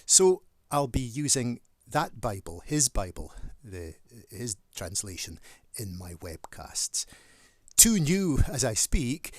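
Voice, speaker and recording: A middle-aged man with a northeast Scottish accent, a church minister giving a measured, earnest introduction to his channel. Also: mid-range voice, no room sound. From northeast Scotland.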